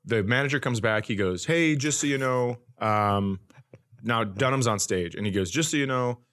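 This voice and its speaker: A man's voice: mid-range voice, normal speed, a bit bright. Wry male comedian telling stories. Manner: wry, self-deprecating, conversational. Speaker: comedian and podcast host. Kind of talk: casual comedic storytelling.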